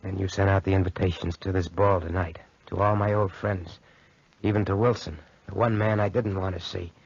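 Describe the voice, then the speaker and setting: Raspy, haunted young man. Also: low voice, fairly even intonation, dry recording. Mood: uneasy recounting. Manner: halting, anguished confessing. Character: haunted, guilt-ridden young man, desperate and wounded. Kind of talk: 1940s radio drama acting. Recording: dull 1940s radio recording.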